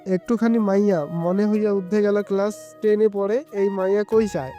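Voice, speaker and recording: A woman's voice: mid-range voice, fairly even intonation, normal speed, warm tone, muffled recording, faint music behind, natural voice. A woman voicing a stern, scolding village father with a grave, blunt manner and a Bangladeshi Bengali dialect, in an audio story. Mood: gruff disapproval.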